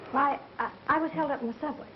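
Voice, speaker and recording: An actress playing a polite, apologetic young secretary in a 1930s film. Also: female voice, sits high, fast, noisy background, lightly acted. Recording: muffled 1930s film soundtrack.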